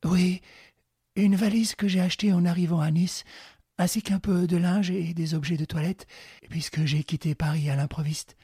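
A man's voice: sits high, fairly even intonation, normal speed, mellow, husky, no room sound, studio quality, pitched above the performer's own voice, heavily acted. A man voicing an anxious young woman who speaks fretfully and urgently.

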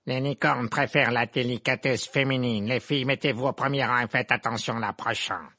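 A man's voice: medium pitch, steady tone, fairly quick, sharp tone, no echo, clean studio sound. A man voicing a stern, brusque old witch schoolteacher in an audiobook, barking curt orders. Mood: brisk, instructive. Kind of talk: audiobook character voice.

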